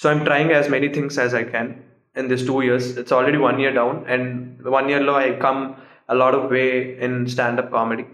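Fast-talking young South Indian man, echoey room. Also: mid-range voice, flat delivery, fairly bright tone. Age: young adult. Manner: chatty, laughing, mixing in Telugu. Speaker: podcast guest. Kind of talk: casual chat.

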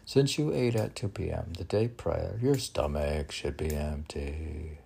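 A man with a very low, gravelly voice reads his poems at an unhurried pace. He rambles, asks himself questions and answers them. Faint hiss sits behind him. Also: mellow. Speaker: poet reading and discussing his own poems. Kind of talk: casual self-questioning poetry reading.

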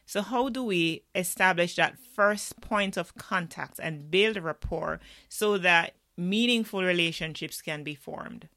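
Bright, low-pitched woman hosting. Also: measured pace, hardly any pauses, no echo, clean studio sound. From Jamaica. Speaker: podcast host. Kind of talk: interview questions.

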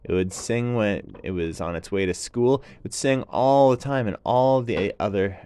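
A man narrating a children's bedtime story in a gentle, drawn-out way with repeated phrases. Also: fairly low pitch, clean tone, dry recording.

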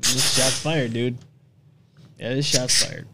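A man's voice: mid-range voice, sing-song, slow pace, vocal fry, background noise. A man hosting a podcast, speaking casually in a group chat. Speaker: podcast host.

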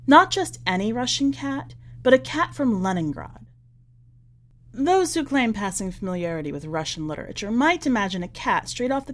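Woman narrating fiction with very animated pitch. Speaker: podcast host and story narrator. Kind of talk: expressive scripted narration of a short story.

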